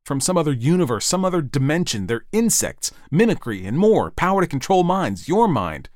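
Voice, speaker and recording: Man acting a desperate father, pitch raised. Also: very animated, normal speed, dry recording, clean studio sound. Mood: urgent, grim. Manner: forceful, barking, clipped commands. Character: desperate, urgent father fleeing an alien invasion. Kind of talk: dramatized audiobook reading.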